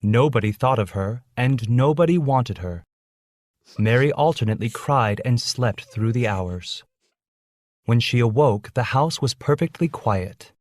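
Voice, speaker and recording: A man's voice: medium pitch, lively intonation, measured pace, dry recording. A man reading a scripted children's story as its narrator.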